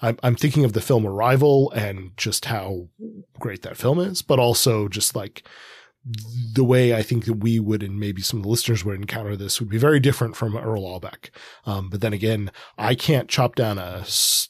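A man co-hosting a book podcast in a casual, informal chat, halting and often trailing off mid-thought. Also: fairly low pitch, slightly rough, no echo. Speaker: podcast co-host. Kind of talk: casual conversational book discussion.

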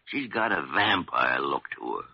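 A man playing a crotchety, meddling old hospital doctor in an old-time radio drama, gravelly and blustering, on a muffled vintage recording. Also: low-pitched, big pitch swings, moderate pace, heavily acted. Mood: grumbling. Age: older. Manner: blustering, grumbling, sly.

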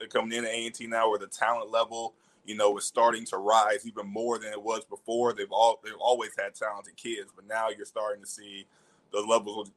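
Young male interview guest from Alabama, fairly low voice, informal and chatty. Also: fairly bright tone, poor audio. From Alabama, United States. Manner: informal, peppers talk with "you know". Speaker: interview guest, media professional. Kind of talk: casual chat.

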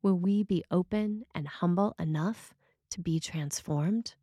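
A woman's voice: lowish voice, unhurried, dark tone, slightly rough, no echo. A woman reading a written sermon as a preacher, in a scripted style.

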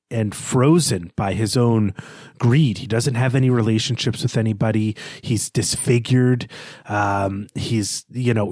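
A man co-hosting a podcast, discussing literature in a halting, emphatic way with repeated words. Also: on the low side, a little mellow, no echo, clean studio sound. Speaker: podcast host.

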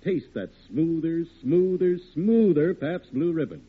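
A man announcing and pitching in a folksy 1940s radio showman style. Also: expressive, unhurried, slightly rough. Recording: tinny old radio recording.

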